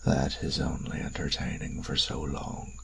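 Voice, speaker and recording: Suave creepy old vampire, super low crackly voice, courtly, slow and half whispered, audio drama. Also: male voice, monotone, a bit bright, full character voice. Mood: charming, courtly. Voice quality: breathy, gravelly. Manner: silky, formal, theatrically unhurried. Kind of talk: scripted audio roleplay acting.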